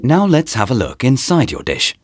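A man reading a scripted promotional voice-over in short, deliberate, presentational phrases, as a product narrator. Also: medium pitch, wildly swooping pitch. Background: background music.

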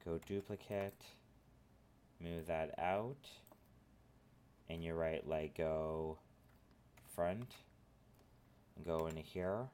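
A man with a cold, sniffling as he talks through a software modeling tutorial at his computer, rambling with lots of filler phrases. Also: low voice, fairly even intonation, drawn-out words, long pauses, slight background noise. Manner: rambling, filler-heavy instructions. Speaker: tutorial host. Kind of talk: screen-recorded software tutorial.

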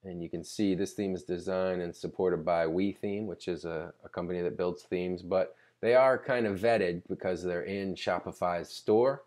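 A man giving a casual, unscripted screen-recording tutorial, explaining conversationally and pausing mid-sentence to think. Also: sits low, fairly even intonation, a little mellow. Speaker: tutorial presenter.

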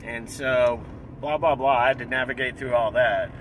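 A man in his forties vlogging from inside a car, talking excitedly in a casual, repetitive way and clearing his throat often. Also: mid-range voice, moderate pace, slight background noise, poor audio. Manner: hyped, repeats himself, clears throat often. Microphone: dashboard camera close up.

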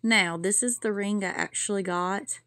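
A woman's voice: medium pitch, moderate pace, fairly bright tone, no echo, clean studio sound. A woman, chatting casually to viewers at home in a vlog and product review. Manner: chatty, folksy, addresses viewers as y'all. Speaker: vlogger. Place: home kitchen and living room.

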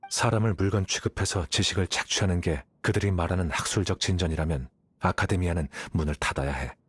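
An actor playing an aloof, rational young scholar in an anime game, coolly self-assured, speaking in a measured, matter-of-fact way. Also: male voice, low-pitched, breathy edge, dry recording. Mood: cool, firm.

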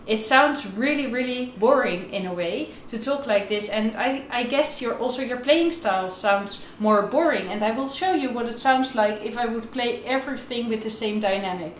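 Higher-pitched young woman teaching, roomy echo. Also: moderate pace, hardly any pauses, a little hiss or hum. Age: young adult. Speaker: violin teacher. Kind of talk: instructional video tutorial, talking to camera. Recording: roomy echo, violin playing at times.

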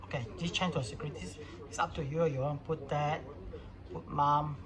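A man's voice: fairly high pitch, fairly even intonation, a little fast, crowd noise. A man narrating an informal hands-on product tutorial in casual, phrasing. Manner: casual non-native English phrasing. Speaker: product demonstrator.

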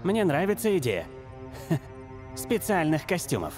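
A man's voice: fairly high pitch, wildly swooping pitch, slow pace, a little mellow, soft background music, full character voice. An actor voicing an eager, dim henchman in a cartoon, simple-minded in manner.

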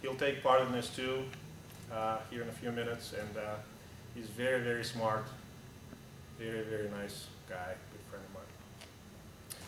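A man's voice: lowish voice, fairly even intonation, a little slow, pauses often. A man in his thirties from Russia with a Russian accent, giving a casual, blunt slide talk as an airline pilot, with frequent "uh"s and restarts. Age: 30s. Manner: conversational and blunt, with frequent "uh" and restarts, gesturing while standing. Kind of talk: informal slide presentation to a group. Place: large echoey hangar-like meeting room indoors. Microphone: camera at the back of the room. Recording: echoey room, distant camera, background hum.

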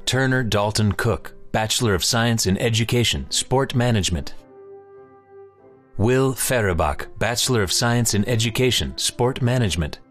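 Guy reading grad names and degrees, steady formal announcer, quiet music underneath. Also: medium pitch, moderate pace. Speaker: name reader.

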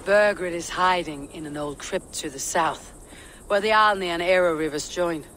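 Woman playing a tough viking warrior lady in a game, lowish voice, calm n firm, bit menacing, noisy backdrop. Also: lightly acted. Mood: businesslike. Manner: measured, firm, coolly menacing. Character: stern, composed female Viking warrior leader. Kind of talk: video game dramatic acting.

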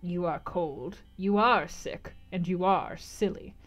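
Woman putting on a harsh old crone fortune teller, bold and decided, loud and knowing. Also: mid-range voice, very animated, moderate pace, faint music behind, close to an everyday voice. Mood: deliberate.

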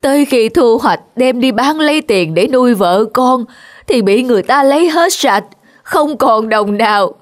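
A woman voicing folk tale characters in an animated cartoon. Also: on the high side, normal speed, lightly acted. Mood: pleading.

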